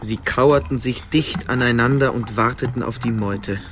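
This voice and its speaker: A man's voice: mid-range voice, moderate pace, fairly bright tone, a little hiss or hum. Male narrator telling a dramatic story.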